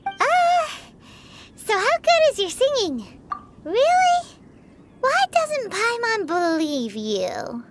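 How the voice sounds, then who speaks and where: A woman voicing a tiny childlike fairy companion in a video game, squeaky, chirpy and blunt. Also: huge pitch swings, slow pace, pauses often, heavy background noise, heavily acted. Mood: doubtful. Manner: refers to self in third person, chirpy. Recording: music behind the voice.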